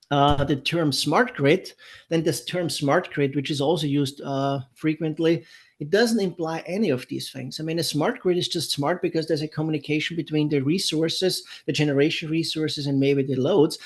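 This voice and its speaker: A man from Europe with a German accent, giving an unscripted technical lecture in a conversational way, with many fillers and restarts. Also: fairly high pitch. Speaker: webinar presenter. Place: San Diego, California.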